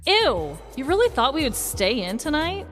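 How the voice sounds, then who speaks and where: A woman voicing loud, drunken, whiny young party women in a comedic story reading. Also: high voice, big pitch swings, moderate pace, faint music behind, voice pushed up. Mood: whiny disgust.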